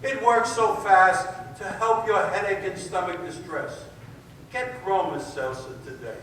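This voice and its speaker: Persuasive male radio pitchman played by a man, high forceful voice, vintage commercial style, echoey. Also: a little slow. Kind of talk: old-time radio commercial acting. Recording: echoey hall from far off, noisy.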